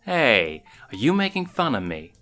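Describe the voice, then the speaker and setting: A man acts out a young lion cub prince in a storybook reading, playful and touchy. He is excitable and teasing, quick to get indignant, with faint music behind him. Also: mid-range voice, voice pushed up, full character voice. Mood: playful, giggly.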